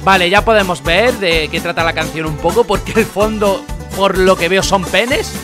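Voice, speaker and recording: A man's voice: high voice, normal speed, music in the background. A man in his twenties with an accent from Spain, doing casual, jokey reaction commentary as a YouTuber and repeating words for effect. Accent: Spanish (Spain). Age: 20s. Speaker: YouTuber host. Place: small bedroom, indoors. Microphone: desk condenser microphone, close.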